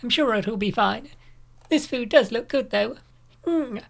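A woman's voice: a little high, lively intonation, measured pace, creaky, full character voice. A woman voicing a young woman model who wavers and talks herself into eating. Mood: tempted.

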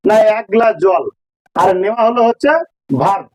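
Very high-pitched man teaching grammar. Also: normal speed, no room sound. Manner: didactic, repeats key phrases and checks understanding. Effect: voice changer. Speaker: English teacher. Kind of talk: informal grammar lesson explained step by step.

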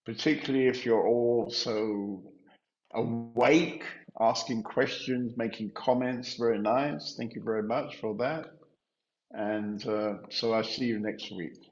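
Older guy teaching spiritual stuff, calm halting talk, roomy echo, kinda muffled. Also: mid-range voice. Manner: measured, gently halting, explaining. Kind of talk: informal teaching and chat. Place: room at home, indoors. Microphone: video call. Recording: echoey room, dull lo-fi sound.

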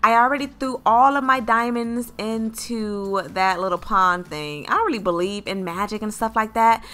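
A woman playing a sassy, boy-crazy young woman in an improvised roleplay story, with slangy, expressive girl talk. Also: on the high side, normal speed, pitched below the performer's own voice. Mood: hopeful, excited.

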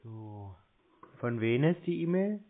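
Male customer, laconic and unhurried, mid-range pitch, muffled phone-line sound. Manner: laconic, deadpan. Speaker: prank caller posing as customer. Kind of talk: casual phone chat. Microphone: telephone.

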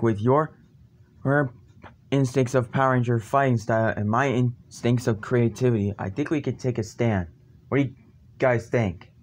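A man voicing a determined young hero in an amateur action story, with halting, dramatic phrasing. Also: mid-range voice, darkish tone, close to an everyday voice. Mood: earnest, resolute. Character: determined young male hero vowing to fight evil. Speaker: amateur voice actor. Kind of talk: hobby voice lines for a fan zombie action story.

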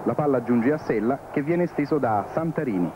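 A man's voice: medium pitch, animated, normal speed. Male football reporter, tinny noisy archive sound. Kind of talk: scripted match report narration. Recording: phone-like, noisy archive TV broadcast.

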